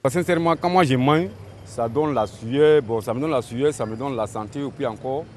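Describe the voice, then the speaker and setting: Halting man in a street interview. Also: mid-range voice, slight background noise. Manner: halting, repeats himself. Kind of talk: street vox pop.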